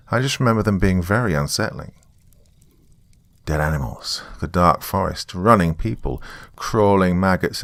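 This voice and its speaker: A man's voice: low-pitched, creaky, fairly bright tone, dry recording. A man narrating a scripted first-person horror story as a storyteller.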